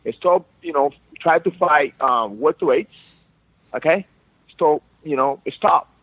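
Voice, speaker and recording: A man's voice: a little high, measured pace, husky, no echo. A man from Cuba with a Cuban Spanish accent, calling in and speaking insistent, repetitive, broken English; Spanish is his first language. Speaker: fighter calling in. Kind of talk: phone interview. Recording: dry, tinny phone line.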